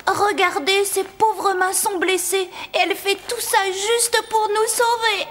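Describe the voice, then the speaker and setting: A woman voicing a young, boyish prince, a caring brother in an animated fairy tale, earnest and expressive. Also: extremely high pitch, a little slow, a little hiss or hum. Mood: concerned, admiring.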